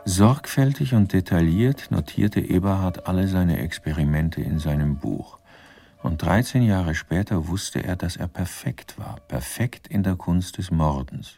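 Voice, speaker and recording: A man's voice: sits low, measured pace, vocal fry, dark tone, slightly rough, faint music behind, exaggerated voice. A man narrating a German radio crime drama in a dry, formal, ironic manner.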